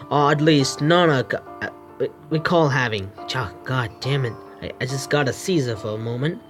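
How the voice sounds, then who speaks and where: Male game streamer, fairly high voice, halting read-aloud narration, casual asides, music underneath. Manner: halting, stumbling over the written text. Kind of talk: reading visual novel text aloud with casual commentary.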